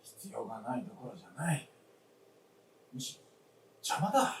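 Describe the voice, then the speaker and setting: Stubborn old widower-style father, gruff and weary, heard at a distance in radio drama. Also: medium pitch, big pitch swings, normal speed. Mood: stubborn, resigned. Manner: gruff, blunt old-fashioned speech. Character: stubborn, weary elderly father living alone. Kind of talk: Japanese radio drama acting on stage. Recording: roomy distant stage recording, faint noise.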